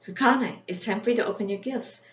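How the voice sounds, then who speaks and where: A woman voicing a gentle mother who directs children kindly in a storybook reading. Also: mid-range voice, fast, voice pushed up, close to an everyday voice. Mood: affectionate, calling. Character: Kukana's mother, gentle, organizing parent. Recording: echoey room, dull sound, faint noise.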